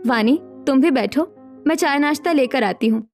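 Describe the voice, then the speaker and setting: A woman voicing a gentle, hospitable elder sister-in-law in a dramatized Hindi story. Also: high-pitched, moderate pace, faint music behind. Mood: gentle, welcoming.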